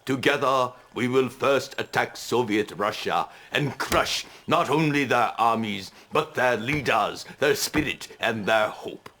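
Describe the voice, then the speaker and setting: An actor playing a stern older emperor who speaks slowly and ceremonially in a game cutscene. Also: male voice, mid-range voice, pushed and bright, slight background noise. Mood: solemn. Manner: slow, grave and ceremonial. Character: stern aging male emperor, solemn warlord.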